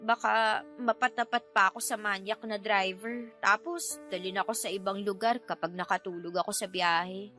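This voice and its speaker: An actress playing a feisty young Filipina in love in a radio drama, expressive and colloquial with a Filipino accent. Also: female voice, mid-range voice, moderate pace, faint music behind, close to an everyday voice. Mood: tipsy, pleading.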